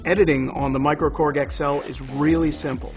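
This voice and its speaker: A man with a slightly high voice explains a product conversationally, as a presenter who is only partly scripted. Music is clearly heard behind him. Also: level tone, fairly quick. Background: synthesizer music.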